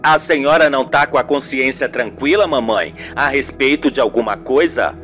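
A man's voice: medium pitch, moderate pace, a bit bright, faint music behind, voice pushed down, lightly acted. A man playing a defensive, exasperated young journalist son in an amateur radio soap. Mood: accusing, firm.

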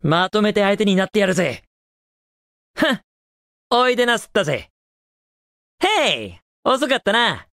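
A man's voice: high voice, very animated, a little slow, creaky, long pauses, fairly bright tone, no echo, studio quality, full character voice. An actor playing a cocky, confident young male hedgehog hero in a Japanese video game, with a cool, casual delivery. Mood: cocky, taunting.

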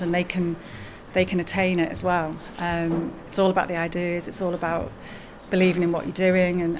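A woman in her forties speaking in an interview as a lecturer and writer, recorded in a large indoor hall. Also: on the low side. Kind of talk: interview about own film. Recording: noisy room with background ambience.

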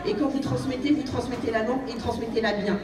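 A woman's voice: fairly high pitch, fairly even intonation, quick pace. A woman from France giving an earnest, exhorting speech to an audience in a banquet hall, repeating her phrases for emphasis. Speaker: guest speaker. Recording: echoey hall, distant mic, crowd noise.

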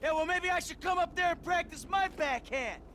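An actor playing a gruff, profane, sarcastic middle-aged American retired criminal and father in a video game cutscene. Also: very high-pitched, moderate pace, sharp tone. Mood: bitter, sarcastic. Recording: noisy vehicle ambience behind voice.

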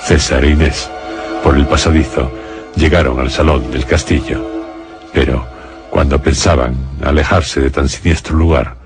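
Very deep, grave male horror narrator. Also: steady tone, over music. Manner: grave, deliberate, dramatic pauses. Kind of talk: scripted radio horror narration.

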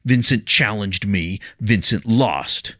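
A man's voice: on the low side, slow, fairly bright tone, dry recording, studio quality, voice pushed up. A man voicing a proud young male warrior pupil who protests and pleads in raised, indignant outbursts. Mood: defiant.

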